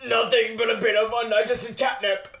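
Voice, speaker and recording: A man's voice: very high-pitched, little pitch movement, moderate pace, a bit bright. A man playing a grumpy, haunted miser cat with theatrical bluster in an amateur radio play. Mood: dismissive, grumbling.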